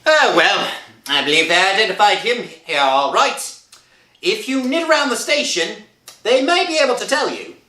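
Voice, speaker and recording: A woman's voice: medium pitch, very animated, normal speed, sharp tone. A woman playing a casual, skeptical police officer in an old-time radio drama, offhand and wry. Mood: matter-of-fact. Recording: echoey room, faint music behind.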